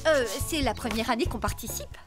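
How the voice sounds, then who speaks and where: A woman's voice: a little high, fairly quick, natural voice. A woman playing an anxious, dramatic suburban wife in a cartoon. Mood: doubtful. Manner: exaggerated, fretful. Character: anxious, dramatic suburban wife who loves her garden. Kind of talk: cartoon dub acting. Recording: music under the voice.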